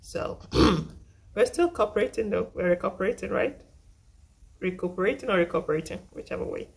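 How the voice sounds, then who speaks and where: A young woman with a husky voice, still getting over the flu, chatting through a casual makeup tutorial and often repeating herself. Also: mid-range voice, lively intonation, moderate pace. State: recovering from flu. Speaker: makeup vlogger.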